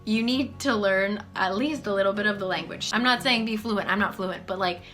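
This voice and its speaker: Bright chatty American woman in her twenties. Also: medium pitch, fairly quick, soft background music. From United States. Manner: chatty, lots of "like" and "um", animated. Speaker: vlogger. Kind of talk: casual Q&A chat to camera. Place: small bedroom office, indoors.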